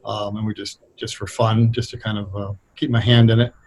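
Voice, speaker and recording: A middle-aged or older American man explaining things conversationally as an interview guest, thoughtful and halting as he picks his words. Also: fairly low pitch, little pitch movement, a little mellow, low-quality recording. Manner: hesitant, thoughtful, halting delivery. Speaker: interview guest, radio playwright.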